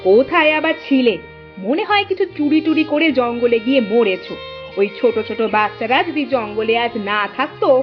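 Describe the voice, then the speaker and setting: A woman voicing a scolding village wife of a petty thief in a cartoon. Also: high-pitched. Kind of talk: cartoon voice acting. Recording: dull lo-fi audio with music.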